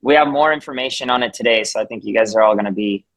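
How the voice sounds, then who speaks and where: A man's voice: on the low side, very fast, a bit bright, dry recording, low-quality recording. A man whose first language is Spanish, hosting a casual video call, chatty and upbeat with frequent restarts. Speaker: community call host.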